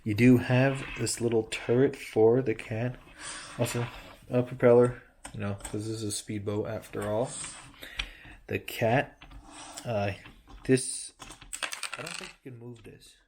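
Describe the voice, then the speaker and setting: A man's voice: medium pitch, steady tone, unhurried, long pauses, a little mellow, a little hiss or hum. A man casually reviewing a toy building set he holds up to a camera, rambling, correcting himself and joking as he goes. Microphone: handheld camera close-up of toy.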